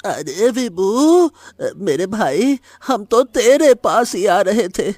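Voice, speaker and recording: An actor playing a pleading village brother who cajoles in a drawn-out way in a cartoon story. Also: male voice, high voice, very animated, a little mellow, no room sound, heavily acted.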